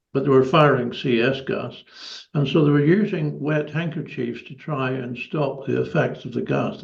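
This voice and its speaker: An elderly man with a Northern Irish accent recounting his personal history as a guest, in a measured, articulate way. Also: mid-range voice, creaky, highs cut off. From Northern Ireland. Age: 70s or older. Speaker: interview guest, former army officer.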